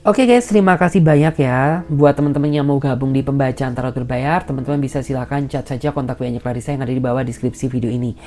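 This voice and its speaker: Tarot reader, female, deep and mellow tone, direct personal advice, filler "ya" after each phrase. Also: fairly even intonation, quick pace, hardly any pauses. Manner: tags nearly every phrase with a soft "ya"; advising and reassuring in a direct, personal way. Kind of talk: general tarot reading addressed to the viewer.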